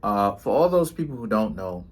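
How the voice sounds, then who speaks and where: Rambling New man, fairly low voice. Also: sing-song, moderate pace. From Trinidad and Tobago, raised in New York City. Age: 30s. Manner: rambling, often tags lines with "right?" and "you know what I'm saying". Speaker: tarot reader and vlogger. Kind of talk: casual monologue to camera.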